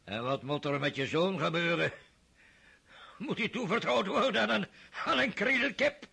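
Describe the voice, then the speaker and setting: An actor playing a stern, mocking older aristocratic father in a radio drama, with a husky voice and scornful laughs. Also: high voice, normal speed, pushed and bright, exaggerated voice.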